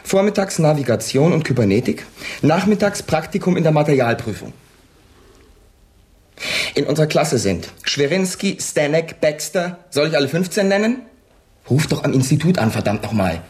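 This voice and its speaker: A man's voice: fairly high pitch, sing-song, moderate pace, darkish tone. A man playing a confused, stubborn astronaut in a German radio drama, with an emphatic, incredulous way of talking. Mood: agitated, incredulous. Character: confused, stubborn male astronaut with lost memory.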